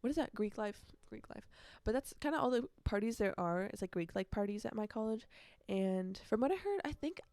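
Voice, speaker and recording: A woman's voice: mid-range voice, expressive, a little fast, a little mellow, dry recording. A nineteen-year-old woman chatting casually on a solo podcast, rambling and correcting herself, with youthful slang and emphatic repetition. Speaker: podcast host.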